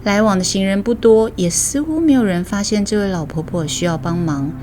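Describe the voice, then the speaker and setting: A woman's voice: mid-range voice, a little fast, a little mellow. A woman with a Taiwanese accent, a storytelling host reading scripted narration. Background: music bed.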